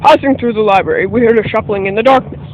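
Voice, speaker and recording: Man acting a very high-voiced detective's sidekick. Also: fast, natural voice. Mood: narrating. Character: earnest male detective's sidekick narrating their case. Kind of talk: scripted radio play narration. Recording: dull lo-fi microphone, faint noise.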